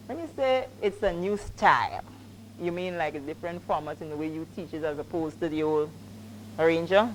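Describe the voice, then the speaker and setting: A woman's voice: low voice, brisk, slight background noise, low-quality recording. A woman with a Grenadian accent hosting an on-site interview, asking chatty, teasing questions. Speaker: event host interviewer.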